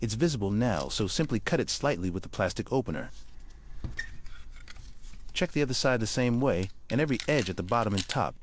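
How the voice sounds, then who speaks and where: A man's voice: on the low side, lively intonation, fairly quick, long pauses, a little hiss or hum. A man giving scripted instructional narration, guiding the listener carefully through each step. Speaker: repair tutorial narrator.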